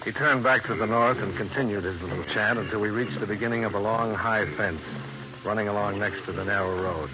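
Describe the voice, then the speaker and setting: An actor playing a wry, hardboiled American investigator, dry and wisecracking, in old radio detective drama. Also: male voice, fairly low pitch, brisk, noisy background, natural voice. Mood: wry narrating. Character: wry, hardboiled American insurance investigator narrating his case. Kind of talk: 1950s radio detective narration and dialogue. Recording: muffled old radio recording.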